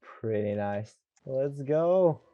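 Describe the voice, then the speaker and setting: A man's voice: medium pitch, lively intonation, drawn-out words. A man giving casual commentary on his own video game playthrough through a lo-fi microphone. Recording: dull lo-fi microphone.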